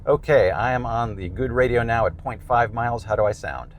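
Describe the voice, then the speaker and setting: A man's voice: mid-range voice, lively intonation, fairly quick, a little hiss or hum. A man in his fifties with an American accent, explaining a product in a plain-spoken, conversational way. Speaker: presenter reviewing gear.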